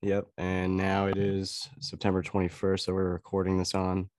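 A young man hosting a podcast, sounding congested from a cold, chatty and relaxed, laughing often. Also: deep voice, moderate pace, dry recording, clean studio sound. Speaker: podcast host. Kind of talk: casual podcast chat.

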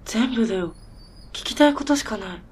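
A woman playing a bewildered but determined snowmobile mechanic in a radio drama, talking casually and haltingly with disbelief. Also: on the high side, expressive, a little mellow, a little hiss or hum, lightly acted. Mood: reproachful.

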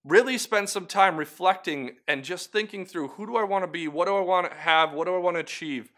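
Bright-voiced earnest male devotional host. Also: on the high side. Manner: earnest, encouraging, conversational with asides. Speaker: host of a weekly video devotional. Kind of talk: short devotional talk to camera.

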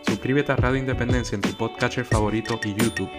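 A man reading a quick, upbeat scripted podcast intro. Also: mid-range voice, fairly even intonation. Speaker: podcast co-host. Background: loud music.

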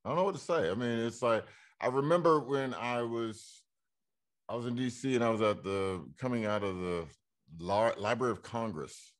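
A man hosting a casual interview, speaking hesitantly with frequent pauses and restarts as he works toward his questions. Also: mid-range voice. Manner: hesitant, halting, many fillers and restarts. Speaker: interview host.

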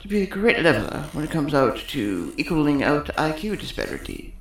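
A woman's voice: deep voice, big pitch swings, fairly quick. A woman playing a cocky, sarcastic male electrical technician in a sci-fi comedy audio drama, smug and wordy. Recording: roomy echo.

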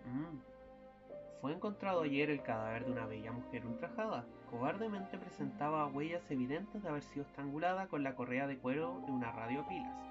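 A man's voice: on the high side, highs cut off, natural voice. A young man, a student actor, playing a fussy, weary husband who grows sinister in an amateur radio drama. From Chile. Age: young adult.

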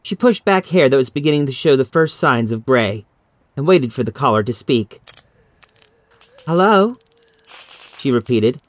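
A man's voice: medium pitch, no echo. Man narrating horror, close dry sound. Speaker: audiobook narrator. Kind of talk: scripted horror story narration.

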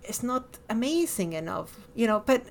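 Podcast host, female, Latin American Spanish accent, slightly high voice, expressive and emphatic in casual conversation. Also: normal speed, gravelly. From born in Miami, lived over a decade in Ecuador.

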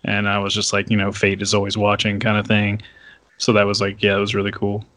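A man's voice: lowish voice, monotone, quick pace, fairly bright tone, dry recording. Laid-back man telling a story. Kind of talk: casual podcast chat.

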